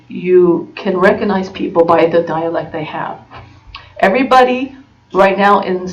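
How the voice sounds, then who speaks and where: A woman from the United States giving an informal talk to an audience, full of fillers and restarts. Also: fairly low pitch. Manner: halting, many fillers and restarts. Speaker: presenter. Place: conference room. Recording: dull recording from far off.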